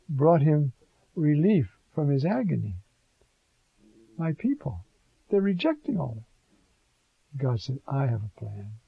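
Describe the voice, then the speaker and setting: Man, preacher, somewhat high voice, husky, conversational sermon, lo-fi dull sound. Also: no room sound. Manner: conversational, rising to fervent emphasis. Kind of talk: sermon on scripture.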